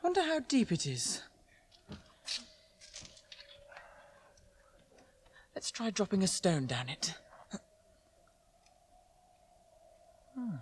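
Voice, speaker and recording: High-voiced, anxious young hobbit. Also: male voice, huge pitch swings, a little fast. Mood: curious. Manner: fretful, boyish. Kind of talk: classic radio drama acting. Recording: 1980s radio drama, faint background noise.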